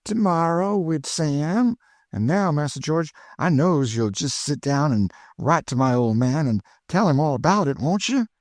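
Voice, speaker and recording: A man's voice: fairly high pitch, big pitch swings, a little slow, darkish tone, no echo, clean studio sound, voice pushed up. A man voicing an eager, chatty older woman cook with an in a storybook reading. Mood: bustling. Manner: exclaiming, folksy, eager.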